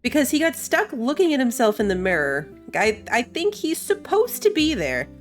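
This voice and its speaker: A woman's voice: a little high, big pitch swings, moderate pace. A woman giving casual gameplay commentary as she plays a video game. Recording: game music behind the voice.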